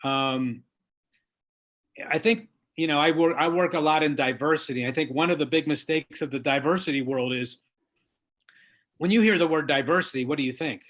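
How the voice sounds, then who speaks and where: A man being interviewed as an author, lawyer and diversity trainer, speaking in an earnest, measured and emphatic way. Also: fairly high pitch, a bit bright. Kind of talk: interview guest, conversational.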